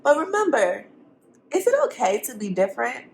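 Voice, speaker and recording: A woman's voice: a little high, very animated, moderate pace, vocal fry, a little mellow. A woman teacher reading a storybook aloud to young students, expressively, with simple encouraging questions and asides for the children.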